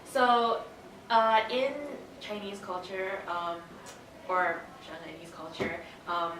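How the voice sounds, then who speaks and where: A woman's voice: fairly low pitch, steady tone, takes it slowly. Echoey, distant young woman. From Canada. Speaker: interviewee. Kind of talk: casual interview answers. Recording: echoey, distant microphone, noisy room.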